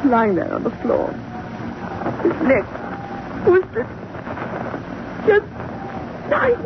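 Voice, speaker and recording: On a tinny, noisy old radio recording, an anxious woman in a thriller. Her voice is high and animated, with urgent, theatrical pleading. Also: drawn-out words, full character voice. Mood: horrified. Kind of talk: 1940s radio acting.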